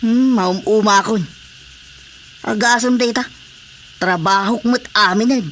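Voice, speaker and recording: A man's voice: high-pitched, unhurried, fairly bright tone. A fed-up, overworked husband in a radio drama. He complains in a plaintive, grumbling way with an Ilocano accent, over faint background noise. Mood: weary, exasperated.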